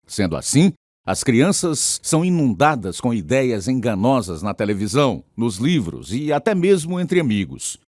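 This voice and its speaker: A man's voice: medium pitch, darkish tone, no room sound, clean studio sound. A man with a Brazilian accent giving scripted, solemn dramatic narration as a radio programme announcer.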